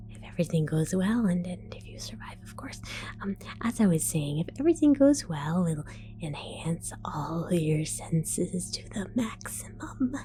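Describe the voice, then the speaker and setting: A woman voicing a scatterbrained female mad scientist in an ASMR roleplay, whispering softly, giggling and stammering as she falsely reassures. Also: lowish voice, natural voice. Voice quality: soft whisper.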